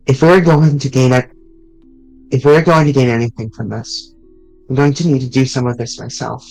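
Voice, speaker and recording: A man's voice: mid-range voice, steady tone, moderate pace, creaky, darkish tone, soft background music, natural voice, low-quality recording. A man voicing a loyal young male friend character while reading a fan visual novel aloud on stream. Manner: casual, blunt delivery with mild swearing.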